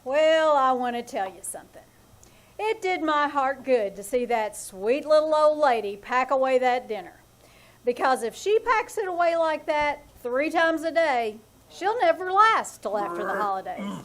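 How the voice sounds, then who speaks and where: Woman cast as exasperated husband, dry wit, vintage radio comedy, high voice. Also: big pitch swings, normal speed, a little hiss or hum. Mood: wry. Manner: dry, wry, sighing asides. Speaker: actor in live old-time radio play. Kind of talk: 1940s radio comedy acting. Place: theater stage.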